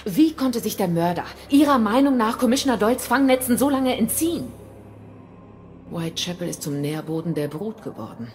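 A woman's voice: medium pitch, very animated, normal speed, a little mellow, faint music behind, close to an everyday voice. A woman playing a resolute, grave rebel leader, speaking formally and earnestly in a dramatic video game audio play. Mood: grave, accusing.

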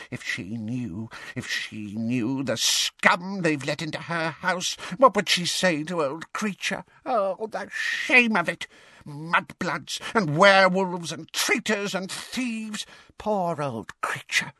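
A man voicing a muttering, servile and spiteful old male house elf, hoarse and croaky, in an audiobook. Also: a little high, sharp tone, dry recording, pitched above the performer's own voice, exaggerated voice. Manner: muttering, self-pitying, talks of himself in third person.

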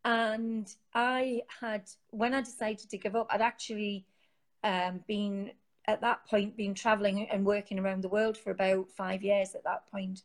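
A woman's voice: mid-range voice, flat delivery, measured pace. Chatty Geordie woman, lots of fillers. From Newcastle, England. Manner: chatty, rambling, with many fillers and restarts. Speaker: guest, founder of an online support group. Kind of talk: casual live interview. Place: home, sitting on a sofa. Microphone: phone.

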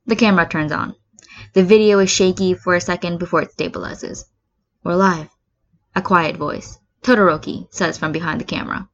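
A woman's voice: on the low side, fairly bright tone. Woman reading a story, muffled recording. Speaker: fan fiction reader. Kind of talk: scripted story narration with acted dialogue. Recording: dull lo-fi recording.

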